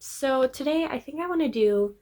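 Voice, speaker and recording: A young woman, slightly hoarse and congested, chatting casually through a makeup tutorial. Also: high-pitched, lively intonation, moderate pace, a little mellow. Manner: chatty, upbeat, trailing off with fillers. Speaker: beauty vlogger.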